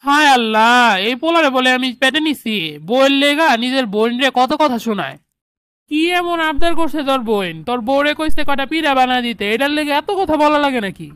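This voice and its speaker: A high, quick cartoon mother-in-law played by a woman, middle-aged and hot-tempered. She scolds and complains in colloquial Bangladeshi speech. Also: fairly bright tone, dry recording. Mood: scolding, resentful. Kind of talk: cartoon drama dialogue.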